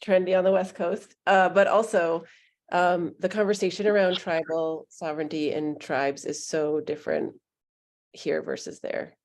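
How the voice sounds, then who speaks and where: A woman's voice: lowish voice, fairly even intonation, moderate pace, darkish tone. A woman hosting an online public meeting over a video call, upbeat and chatty with some ums. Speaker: meeting host.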